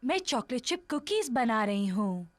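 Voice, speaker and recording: A woman voicing a kind, motherly mummy pig with a gentle, encouraging manner in a children's cartoon dub. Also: a little high, sing-song, moderate pace, no room sound. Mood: kindly. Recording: loud music behind the voice.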